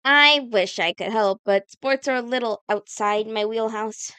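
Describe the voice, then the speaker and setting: A woman's voice: on the high side, a little slow, pushed and bright, smooth, dry recording. A woman playing an earnest, guilt-ridden teenage girl in a cartoon comic dub, speaking in a sincere, dramatic way. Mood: friendly, wistful.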